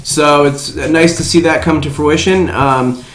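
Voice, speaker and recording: A man co-hosting a casual movie news podcast, speaking haltingly and often trailing off. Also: on the high side, steady tone, fairly bright tone, slight background noise. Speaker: podcast co-host. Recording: echoey room with music bed.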